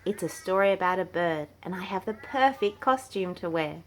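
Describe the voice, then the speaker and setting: A woman's voice: fairly low pitch, lively intonation, normal speed, close to an everyday voice. A woman voicing a cheerful, eager young male entertainer from a storybook, bouncy and theatrical.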